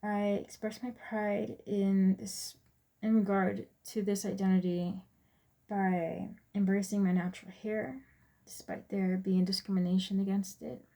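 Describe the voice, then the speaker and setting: A woman in her twenties with an American accent, giving a prepared class presentation in a measured, point-by-point manner. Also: medium pitch, level tone, mellow. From US Virgin Islands and Texas, USA. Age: 20s. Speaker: student presenter.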